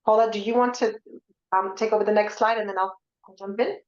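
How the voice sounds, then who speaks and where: A woman with a French accent, a divorce coach, speaking in a measured way with fillers in a webinar. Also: mid-range voice, fairly even intonation, a little mellow, echoey room, highs cut off. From France. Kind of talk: webinar presentation.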